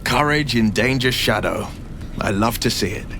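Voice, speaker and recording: An actor playing a gallant, eloquent monster hunter in a fantasy game, with a florid, measured storytelling manner. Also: male voice, medium pitch, noisy background, close to an everyday voice. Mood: thoughtful, assured. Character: gallant, eloquent male monster-hunting adventurer hero.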